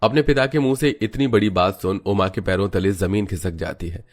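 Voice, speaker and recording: A man's voice: on the low side, a little fast, soft background music. A man narrating a scripted moral story as a storyteller.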